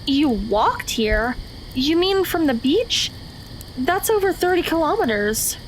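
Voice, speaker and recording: A woman's voice: high voice, very animated, slow pace, a little hiss or hum, full character voice, low-quality recording. A woman acting in an audio drama as an anxious, talkative teenage girl who questions everything and stammers when startled. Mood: puzzled, insistent.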